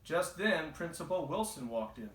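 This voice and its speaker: A man's voice: fairly high pitch, very animated, normal speed, fairly bright tone. A man reading a children's storybook aloud as the narrator. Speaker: storybook reader. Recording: echoey, roomy home recording.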